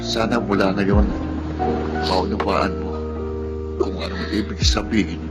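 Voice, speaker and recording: A man with a Filipino accent acting a gentle, earnest blind older brother in a radio drama, speaking tenderly and reassuringly. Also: sits low, steady tone, unhurried. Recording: dramatic music under the voice.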